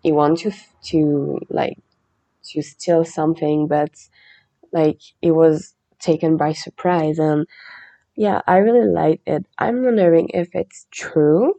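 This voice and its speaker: A woman hosting a podcast, chatting in a relaxed, meandering way and reading a legend aloud. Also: low-pitched, takes it slowly, vocal fry. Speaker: podcast host.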